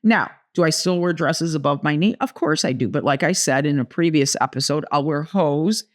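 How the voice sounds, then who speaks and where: A woman's voice: low-pitched, normal speed. A woman in her seventies co-hosting a podcast, chatting casually and telling stories with playful asides. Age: 70s. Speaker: podcast co-host. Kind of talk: casual chat.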